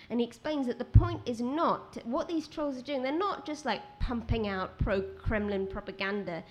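A woman with a quiet voice, a guest writer talking at a live event, rambling with many restarts. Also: fairly high pitch, normal speed, slight background noise. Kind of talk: conversational talk at a live event. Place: outdoor event with rain.

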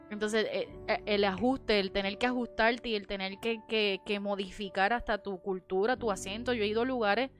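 A woman with a Puerto Rican Spanish accent, raised in Miami, talking animatedly in a casual church discussion and dropping in English words. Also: mid-range voice. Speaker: panelist.

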